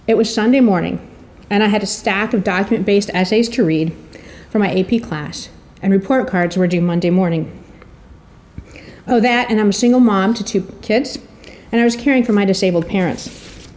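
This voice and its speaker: A middle-aged woman with a soft, mellow tone and an average pitch for a woman. She delivers a prepared introduction as a storyteller would, with careful articulation and a wry, theatrical touch. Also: moderate pace. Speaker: presenter introducing an author.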